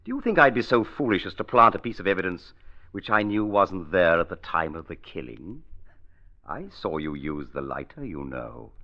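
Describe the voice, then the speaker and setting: An actor playing a cunning manservant in a 1940s radio mystery, formal and deferential yet menacing and insinuating. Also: male voice, deep voice, big pitch swings, moderate pace. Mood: smugly insinuating. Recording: dull lo-fi old radio recording.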